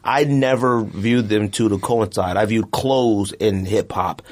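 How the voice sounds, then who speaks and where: A man's voice: lowish voice, a little slow. A man co-hosting a casual podcast in slangy, argumentative banter. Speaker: podcast co-host.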